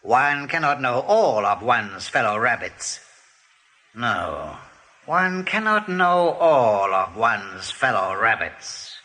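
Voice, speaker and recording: An actor playing a ponderous, pompous old rabbit general in a radio play, deliberate and self-repeating. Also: male voice, medium pitch, big pitch swings, sharp tone, full character voice.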